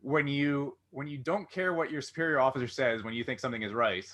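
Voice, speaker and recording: A man's voice: mid-range voice, quick pace, a bit bright. A man hosting a casual interview, thinking aloud in a rambling, conversational way. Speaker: interview host.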